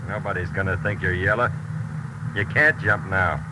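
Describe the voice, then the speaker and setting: An actor playing a steady, fatherly army sergeant in a 1940s radio drama, calm, firm and reassuring. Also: low-pitched, moderate pace, background noise, natural voice. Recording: loud engine roar, old radio.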